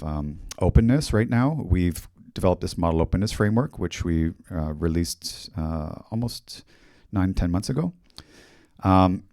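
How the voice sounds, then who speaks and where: A middle-aged man with a Canadian accent giving a technical conference talk in a fairly monotone, steady voice, speaking into a handheld mic on a hall stage. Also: low-pitched, clean studio sound. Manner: monotone, steady explanatory delivery. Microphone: handheld microphone.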